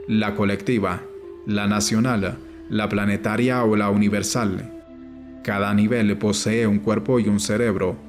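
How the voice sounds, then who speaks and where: A man reads a nonfiction audiobook in a lowish voice with very little rise and fall. His delivery is formal and measured, and music plays behind him. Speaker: audiobook narrator. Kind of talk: scripted audiobook narration of a nonfiction book.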